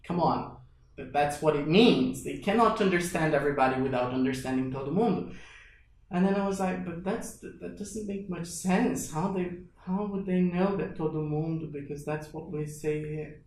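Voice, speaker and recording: Man, animated. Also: on the high side, moderate pace, slight background noise. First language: Portuguese. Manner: animated, acts out his own past thoughts. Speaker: guest. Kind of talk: storytelling in a casual interview. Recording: echoey room, faint music behind.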